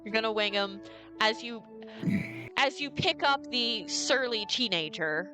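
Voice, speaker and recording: A woman's voice: on the high side, animated, measured pace. A woman running a tabletop game as dungeon master, narrating scenes and questioning the players. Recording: background music.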